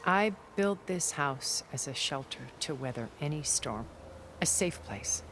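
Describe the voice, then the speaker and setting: A woman playing a poised, cultured, secretive older tech magnate in a video game cutscene, speaking in a measured, eloquent way. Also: sits low, animated, fairly bright tone, slight background noise. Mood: earnest, proud. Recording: music behind the voice.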